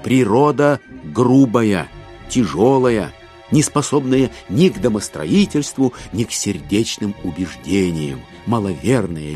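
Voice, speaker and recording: Wry male storyteller, emphatic theatrical narration, low register, highly animated, music behind. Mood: ironic scorn. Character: wry storyteller of a satirical classic novel.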